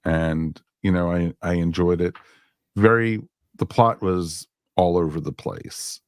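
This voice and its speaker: Male podcast host, very low gravelly voice, dry close sound, loose conversational delivery. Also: fairly even intonation, slow pace, a little mellow, no echo. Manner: conversational, repeats himself, peppered with "you know". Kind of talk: casual podcast chat.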